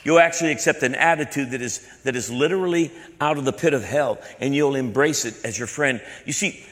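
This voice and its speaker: A man's voice: medium pitch, fairly quick, a bit bright. A male pastor from the American South preaching a sermon with repeated, building phrases. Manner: preaching with repetition and building emphasis. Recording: echoey hall with long reverb.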